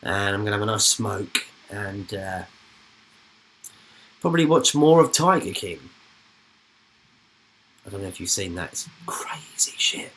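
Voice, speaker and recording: A man's voice: fairly low pitch, unhurried, long pauses, a bit bright, slight background noise, low-quality recording. A young man, hosting a football channel's live stream in a rambling, informal chat that slips into casual swearing.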